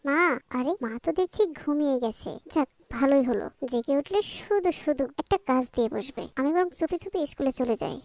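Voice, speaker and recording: A woman's voice: sits high, lively intonation, a little fast, a little mellow, no room sound. A woman voicing a sly, whiny young schoolgirl in a cartoon. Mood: sneaky. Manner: whiny, childish, scheming. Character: sly young schoolgirl who fibs to dodge chores. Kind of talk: cartoon voice acting.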